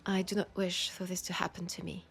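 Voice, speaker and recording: A woman playing a lonely, centuries-old female vampire in an intimate audio drama, with a French accent and a soft, confiding, unhurried delivery. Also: on the low side. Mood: intimate, seductive. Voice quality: soft, breathy, often dropping to a whisper. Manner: unhurried, confiding, pausing between phrases.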